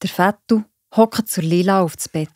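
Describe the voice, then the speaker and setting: A woman's voice: fairly low pitch, a little slow, a little mellow, no room sound, studio quality. A woman with a Swiss German accent narrating a scripted children's story.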